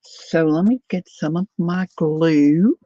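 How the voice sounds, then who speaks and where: An older woman chatting casually to viewers while she crafts, thinking out loud as she works. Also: low voice, takes it slowly, dry recording. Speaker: craft livestreamer. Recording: dull lo-fi dry sound.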